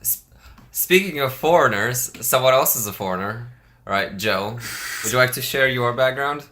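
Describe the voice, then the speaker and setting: Russian-accented man, casual podcast co-host, bright forceful tone, hesitant and full of fillers. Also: mid-range voice, expressive, normal speed, a little hiss or hum. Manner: hesitant, with many filler words and restarts.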